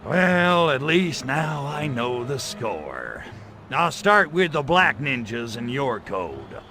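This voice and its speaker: Game alien invader: slow, swaggering drawn-out sarcasm, forceful edge, wisecracking menace. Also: male voice, medium pitch, wildly swooping pitch, faint music behind, heavily acted. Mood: impatient. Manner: sarcastic, swaggering, slangy delivery. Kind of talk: video game cutscene voice acting.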